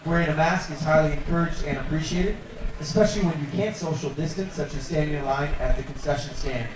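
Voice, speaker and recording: Booming distant ballpark PA announcer man. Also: heavy reverb, noisy background. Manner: measured, formal announcing. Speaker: stadium public address announcer. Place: outdoor ballpark.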